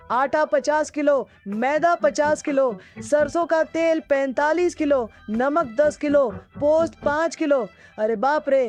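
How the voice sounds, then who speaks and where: An actor voicing a busy, flustered, wary village shopkeeper in an animated comedy. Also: high voice. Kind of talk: Hindi animated comedy dubbing.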